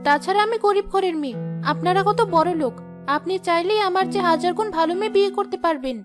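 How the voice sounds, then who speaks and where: Very high-pitched, firm village girl, voiced by a woman. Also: fast talker, over music. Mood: indignant. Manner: polite but firmly scolding. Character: proper, firm sixteen-year-old poor village girl. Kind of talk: Bengali cartoon voice acting.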